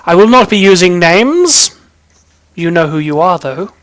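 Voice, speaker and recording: A man's voice: sits high, very animated, unhurried, fairly bright tone, dry recording, studio quality. A young man with an English accent, chatting casually about himself as a vlog host in a rambling, playful, self-interrupting way. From British, raised in army family in Germany, Hong Kong and England. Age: young adult. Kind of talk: casual chatty personal storytelling to camera.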